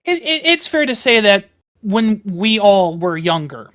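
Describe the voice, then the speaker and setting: A woman playing a tabletop game, talking casually and haltingly, repeating phrases for emphasis. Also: medium pitch, big pitch swings, fairly bright tone.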